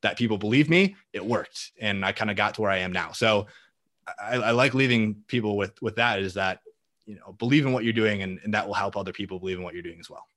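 A man's voice: lowish voice, fast, fairly bright tone. A young man as a podcast guest, talking with confident, fluent energy in long answers. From Minnesota. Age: young adult. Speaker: guest, marketing entrepreneur.

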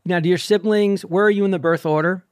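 A man's voice: sits high, steady tone, fast talker, darkish tone, smooth, no echo, studio quality. A man in his sixties hosting a running podcast over a video call, chatty and upbeat. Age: 60s. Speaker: podcast host. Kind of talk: casual interview chat.

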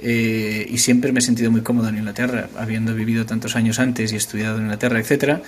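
A man's voice: fairly low pitch, flat delivery, quick pace. A man in his forties from Spain with an Asturian accent, a company founder talking casually in an interview, often hesitating and restarting. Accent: Spanish, Asturian. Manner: hesitant, many restarts and fillers.

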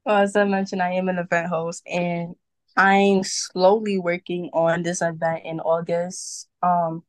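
Chatty young New woman, low voice. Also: little pitch movement, measured pace, dry recording. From Brooklyn, New York. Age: young adult. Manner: chatty, upbeat, with many "like" and "you know" fillers. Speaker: podcast guest, model and event host. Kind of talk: casual interview chat.

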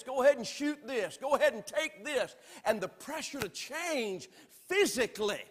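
Southern preacher with a high voice. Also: male voice, long reverb tail. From Alabama. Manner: emphatic preaching with sudden swings between loud and soft. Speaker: pastor. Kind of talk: sermon. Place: church sanctuary.

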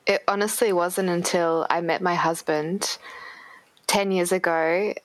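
Soft-spoken woman podcast host. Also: fairly low pitch, fairly even intonation, a little slow, no room sound. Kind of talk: casual podcast chat.

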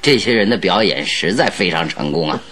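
An actor playing a clever, self-satisfied detective in a Mandarin radio drama, explaining things with assured, theatrical emphasis. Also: male voice, sits low, animated, brisk, sharp tone, soft background music. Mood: smug.

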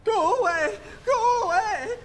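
A man playing an excitable, talkative lighthouse keeper in a radio drama, florid and easily thrown into panic. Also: very high voice, slow pace, full character voice. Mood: panicked. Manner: florid, overdramatic, babbling. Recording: long reverb with music underneath.

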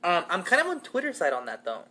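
A man's voice: high voice, huge pitch swings, quick pace, bright, forceful tone, breathy edge. A man in his twenties co-hosting a podcast, chatting in a laid-back, rambling way with lots of fillers and casual swearing. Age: 20s. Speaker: podcast co-host. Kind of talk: casual chat.

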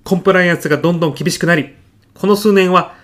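A man playing an earnest physicist in an audio comedy sketch, speaking formally and reverently of his mentor with deadpan, grandiose solemnity. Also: high voice, racing. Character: earnest, reverent male physicist, devoted protégé of late professor.

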